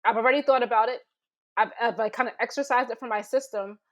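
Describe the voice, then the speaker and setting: A woman's voice: a little high, fairly even intonation, quick pace. A woman on a panel talking informally and tentatively, searching for her words as she explains her thinking. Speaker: panelist, fiction writer. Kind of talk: casual panel discussion.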